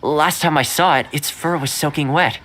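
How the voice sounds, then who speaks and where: An actor playing a friendly, patient young man in a video game, chatting gently over game music. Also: fairly high pitch, normal speed. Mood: chatty, thoughtful. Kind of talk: game dialogue acting.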